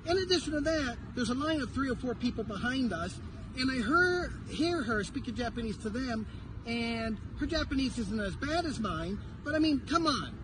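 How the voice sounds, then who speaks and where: A man's voice: very high-pitched, expressive, moderate pace, noisy background. A man living abroad ranting with irritation to the camera. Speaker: foreign resident in Japan. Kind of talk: complaining clip to camera.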